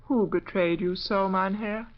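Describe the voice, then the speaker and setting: A woman's voice: mid-range voice, steady tone, a little slow, natural voice. An actress playing an innocent, frightened young woman in an old-time radio drama, wary and earnestly pleading. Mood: grim, questioning. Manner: earnest, fearful, breathless pleading. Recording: muffled, noisy vintage radio recording.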